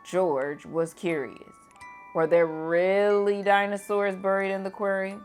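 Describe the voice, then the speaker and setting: A teacher reading a children's picture book aloud at bedtime, gentle and expressive. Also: female voice, medium pitch, unhurried, soft background music.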